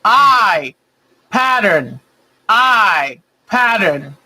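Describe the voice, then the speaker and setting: A woman, hosting a quilting bingo game and calling each clue twice, slowly and deliberately. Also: medium pitch, very animated, sharp tone, slightly rough, rough recording. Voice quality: big voice.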